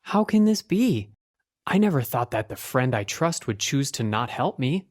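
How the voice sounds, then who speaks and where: Woman voicing an anxious, low-pitched young storybook man. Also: very animated, normal speed, a little mellow, full character voice. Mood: dejected. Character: anxious, earnest young man seeking a loyal friend.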